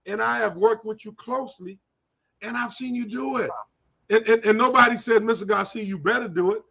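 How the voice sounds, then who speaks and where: A man hosting a talk show, praising his guest with warm repetition and stumbling restarts. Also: high-pitched, fairly quick, creaky, breathy edge, dull audio. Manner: effusive, repeats words, praising guest. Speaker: show host. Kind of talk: casual interview chat.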